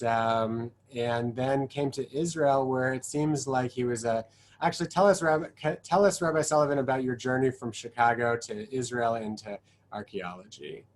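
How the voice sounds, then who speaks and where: Male host with a halting delivery. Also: mid-range voice, a little hiss or hum. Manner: friendly introductions, hesitant with fillers. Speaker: interview host. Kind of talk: hosting an interview.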